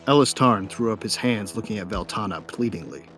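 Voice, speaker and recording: A man's voice: lowish voice, animated, brisk, a bit bright, faint music behind. A man playing a cocky, wry bounty hunter who narrates his own story in an audio drama. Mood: cocky, vicious. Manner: wry, self-satisfied. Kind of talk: first-person dramatic narration.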